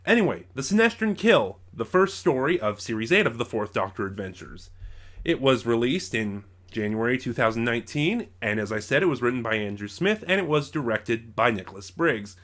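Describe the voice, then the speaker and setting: A man presents an informal review as host of his own show. He talks conversationally and haltingly, often breaking off and rewording what he has just started to say. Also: medium pitch, very animated, a bit bright. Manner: halting, conversational, circles back and rephrases. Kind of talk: informal review to camera or mic, loosely scripted.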